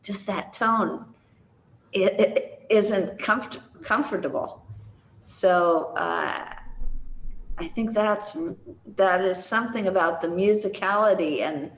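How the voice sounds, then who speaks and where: A woman who speaks slowly and thoughtfully on a video call. Her voice sits at a middle pitch and carries a roomy echo. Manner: measured, reflective. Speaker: conversation circle participant. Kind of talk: thoughtful sharing.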